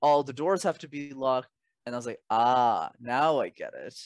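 A man's voice: medium pitch, big pitch swings, normal speed, no room sound, clean studio sound. A young man giving conversational advice as an interview guest, emphatic and articulate, often posing rhetorical questions. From Canada. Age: young adult. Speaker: interview guest, communication coach. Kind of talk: conversational advice and personal anecdotes.

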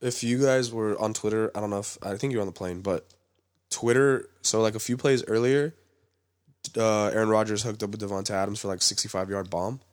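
A man's voice: on the low side, fairly even intonation, fairly quick, no room sound. A man co-hosting a casual sports podcast, sick and nasal with a stuffy nose, joking and swearing through the banter. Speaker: podcast co-host.

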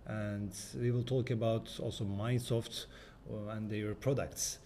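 A man's voice: fairly low pitch, little pitch movement, a little slow, warm tone. Male podcast host over noisy background. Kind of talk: podcast interview at an event. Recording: clear background noise, event ambience.

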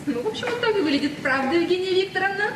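A woman's voice: extremely high pitch, animated, racing, distant mic. An actress playing a breezy, curious teenage daughter, girlish and cheeky, in a 1970s Soviet radio play. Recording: noisy, very echoey old radio recording.

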